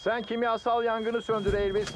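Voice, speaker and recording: An actor playing a kind, capable firefighter hero in a cartoon dub, speaking in an earnest, helpful manner. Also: male voice, sits high, flat delivery, moderate pace, background noise, lightly acted. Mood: decisive. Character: kind, capable heroic adult male firefighter. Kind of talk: animated cartoon dub acting.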